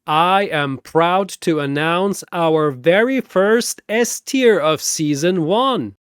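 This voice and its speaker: Guy doing a showy fantasy battle arena announcer, grandiose, stretches words out, super animated, dry close sound. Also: on the high side, slow pace, clean tone, dry recording. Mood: proud, hyped. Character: flamboyant four-armed arena master of ceremonies, showy and grandiose.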